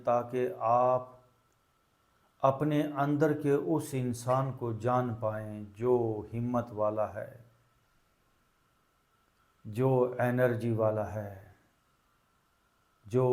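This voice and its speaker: A man leading a guided meditation as a teacher, giving calming, deliberate instructions in short phrases with long pauses. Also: mid-range voice.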